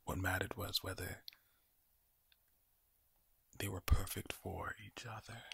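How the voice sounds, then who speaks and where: A man with an Irish accent giving soothing ASMR affirmations in a low, breathy, gravelly whisper, slowly and deliberately, repeating his phrases. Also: flat delivery, fairly bright tone, a little hiss or hum. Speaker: ASMR creator.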